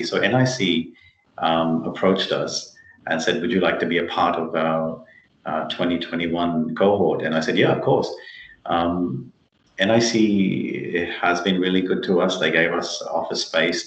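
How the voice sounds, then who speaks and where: A man's voice: deep voice, fairly bright tone. A man answering interview questions on a video call, explaining things emphatically in a conversational way. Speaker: interview guest, tech company chief executive. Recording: muffled, roomy video call audio.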